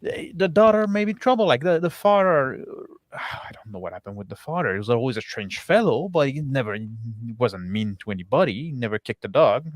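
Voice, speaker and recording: A stocky village blacksmith acted by a man in a tabletop roleplaying game. He is blunt and defensive, rambles in halting bursts and swears freely. The pitch is average and the sound is dry. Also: big pitch swings, no room sound, natural voice. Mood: earnest, defensive.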